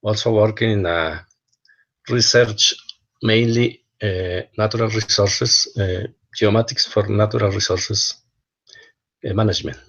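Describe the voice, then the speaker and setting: A man introducing himself as a university program coordinator on a video call, in a formal, measured way. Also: on the low side, steady tone, a bit bright. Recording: dull lo-fi call audio.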